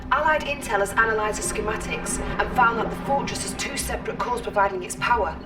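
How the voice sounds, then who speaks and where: Earnest female intel officer actress, fairly high voice, formal and urgent, game briefing over music. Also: quick pace, close to an everyday voice. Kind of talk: acted video game briefing. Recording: slightly distant, music behind.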